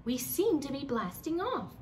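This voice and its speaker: Storybook teacher, played by a woman reader: quirky, excitable, high swooping voice, addressing children. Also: normal speed, recorded from a distance, a little hiss or hum. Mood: mildly surprised. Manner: bright, instructive exclaiming to children. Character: excitable quirky woman schoolteacher leading a class.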